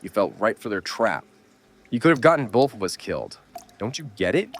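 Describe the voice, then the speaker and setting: Man playing a deep-voiced, weary survivor. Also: sing-song, brisk. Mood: stern scolding. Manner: blunt, scolding, urgent. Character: gruff, world-weary male survivor, stern mentor to a kid. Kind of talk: horror audio drama acting.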